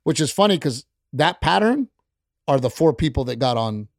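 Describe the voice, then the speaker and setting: Gravelly, fired-up male comedian. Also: mid-range voice, very animated, normal speed, dry recording, clean studio sound. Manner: blunt, heated, profane. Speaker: stand-up comedian, podcast guest. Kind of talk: casual chat. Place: podcast studio.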